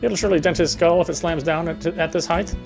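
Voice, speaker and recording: A man's voice: fairly high pitch, fairly even intonation, quick pace, creaky, music in the background. A man reading first-person visual novel text aloud as narration, stumbling now and then over words.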